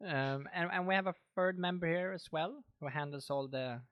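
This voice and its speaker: Swedish male podcast host, slightly high voice, relaxed and talkative. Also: moderate pace, muffled recording. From Sweden. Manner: relaxed, chatty, laughs easily. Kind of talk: casual chat.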